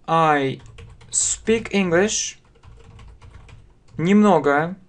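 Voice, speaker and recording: A man in his thirties with a Russian accent, teaching a language lesson very slowly, spelling out phrases word by word and repeating them at a desk webcam. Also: on the high side. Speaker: English teacher. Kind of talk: slow step-by-step language lesson. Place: small room indoors.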